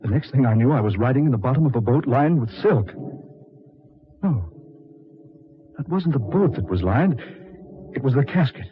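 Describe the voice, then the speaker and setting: An actor playing a dazed, grave husband returned from the dead, in halting, melodramatic 1940s radio style. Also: male voice, mid-range voice, animated, natural voice. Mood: grave dread. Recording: scratchy, tinny 1940s radio recording.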